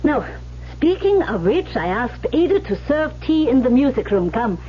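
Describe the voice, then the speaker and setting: An actress playing an older, brisk and affectionate piano teacher with an imperious, theatrical delivery in a radio drama. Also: female voice, high voice, big pitch swings. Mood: brisk, commanding. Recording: dull lo-fi radio recording with hum.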